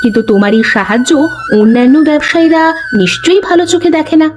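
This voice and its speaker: নারীর অভিনয়ে প্রাণবন্ত, চড়া গলার গ্রাম্য গিন্নি. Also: female voice, high voice, animated, fast talker, a little mellow. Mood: suspicious. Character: concerned, shrewd, devout village fisherman's wife. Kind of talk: animated Bengali folk-tale dialogue. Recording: music behind the voice.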